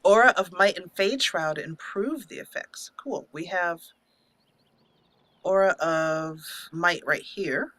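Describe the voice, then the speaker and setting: A woman's voice: fairly low pitch, takes it slowly, a bit bright, dry recording. A woman hosting a casual let's play, chatting playfully with mock indignation and mild joke swearing. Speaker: gaming channel host.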